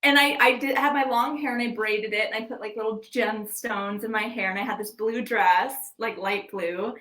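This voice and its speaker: A woman's voice: a little high, steady tone, moderate pace, fairly bright tone, echoey room. A woman chatting as a podcast guest in a bubbly, giggly and playful way. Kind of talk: casual interview chat.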